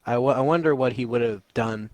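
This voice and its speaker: A man's voice: medium pitch, a little fast, a little mellow, no room sound. Teen male co-host, brash and sweary, casual rambling review, dry room. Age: teens. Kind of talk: casual movie review chat.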